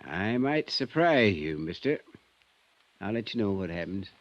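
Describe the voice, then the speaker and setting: Low-voiced, laconic old constable. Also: male voice, very animated. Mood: businesslike. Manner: unhurried, dry, folksy. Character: laconic, folksy older small-town police constable. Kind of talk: 1950s radio drama acting. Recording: noisy, dull old radio recording.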